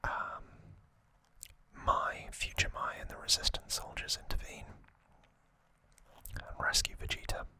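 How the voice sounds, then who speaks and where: Man whispering slowly in ASMR style. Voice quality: breathy unvoiced whisper. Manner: soft, unhurried, deliberate short phrases. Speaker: ASMR channel host. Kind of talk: whispered ASMR story recap.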